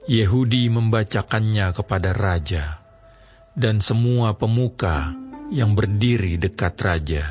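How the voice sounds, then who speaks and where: Slow, measured male Bible narrator. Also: on the low side, a little mellow. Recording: slightly dull studio audio over music.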